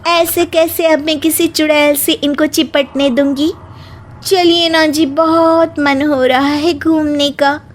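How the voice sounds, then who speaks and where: A woman's voice: sits high, little pitch movement, slow, a little hiss or hum. A woman playing a cheeky young witch who poses as a bride in a comic audio story, talking back theatrically. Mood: defiant. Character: sassy young female witch posing as a new bride. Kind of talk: Hindi comic story acting.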